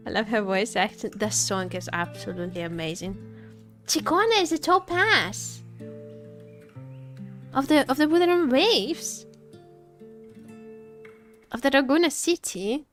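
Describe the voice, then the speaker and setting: Girl streaming a game, bit high voice, talks slowish, gushy, rambles out loud. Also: sing-song. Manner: gushing, giggly, thinks aloud with repeated phrases. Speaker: livestreamer. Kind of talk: casual live game reaction commentary. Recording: game music behind the voice.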